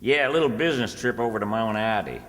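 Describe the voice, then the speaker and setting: A man acts out a bossy, shrewd country storekeeper. The voice is on the low side and folksy, in the style of old radio comedy. Long hall reverb surrounds it. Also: animated, fast, a bit bright. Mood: dismissive. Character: Lum, practical, bossy, shrewd rural storekeeper.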